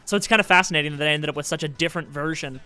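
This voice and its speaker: Nasal, bright-voiced man chatting. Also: a little high, racing, clean tone. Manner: animated, laughs often. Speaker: podcast co-host. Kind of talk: casual music discussion.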